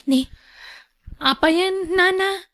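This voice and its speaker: A woman performs a younger woman with a distinctly high voice, looking after an elderly mother. She is long-suffering and earnest, reasoning her way through a radio drama. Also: takes it slowly, a bit bright, full character voice. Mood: earnest explaining.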